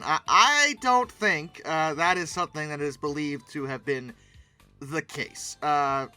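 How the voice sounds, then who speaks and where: Animated, bright-voiced commentator. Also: medium pitch. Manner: theatrical, with mock-dramatic emphasis. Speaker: host of a paleoart commentary channel. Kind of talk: casual off-the-cuff commentary on pictures.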